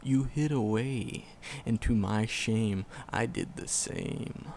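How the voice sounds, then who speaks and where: A man playing an ancient, tender and sorrowful forest demon in an ASMR roleplay, with a gravelly voice and solemn, archaic storytelling. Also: medium pitch, slow, darkish tone, a little hiss or hum. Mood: sorrowful, regretful. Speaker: voice actor in audio roleplay.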